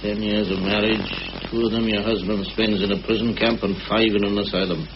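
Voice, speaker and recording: An actor playing a kindly, plain-spoken older village police sergeant in a mid-century radio drama. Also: male voice, lowish voice, flat delivery, fairly quick, natural voice. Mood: gentle, sympathetic. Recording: muffled, noisy old radio recording.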